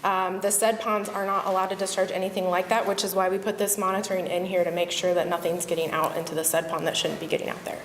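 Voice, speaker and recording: A woman's voice: lowish voice, flat delivery, fast, hardly any pauses. A woman from the agency's permit staff explaining monitoring rules plainly at a public hearing. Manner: explanatory, matter-of-fact. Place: public meeting hall. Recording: echoey hall, faint noise.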